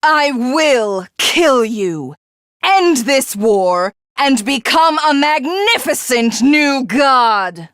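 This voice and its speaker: A woman's voice: high voice, sing-song, drawn-out words, a bit bright, no echo, clean studio sound, full character voice. A woman playing a haughty, megalomaniac female villain in a video game, grandly savouring each phrase as she gloats. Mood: mocking menace.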